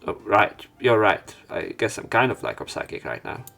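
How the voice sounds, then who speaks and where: A man's voice: lowish voice, very animated, moderate pace, vocal fry, fairly bright tone. A man doing a let's play, reading a visual novel aloud in an everyday voice and adding casual commentary. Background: game music.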